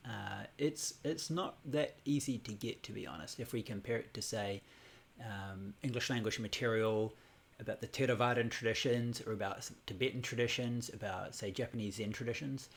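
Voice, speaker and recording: A man from New Zealand in his forties, teaching a Buddhism class over a casual livestream in a relaxed, rambling way with many fillers and restarts. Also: fairly low pitch, normal speed, gravelly. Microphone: computer or phone livestream.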